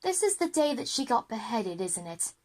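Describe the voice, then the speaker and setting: A woman voicing an excitable, sceptical schoolchild in a children's audio drama. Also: on the high side, normal speed. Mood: dismayed. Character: excitable, sceptical schoolchild on a time-travel lesson.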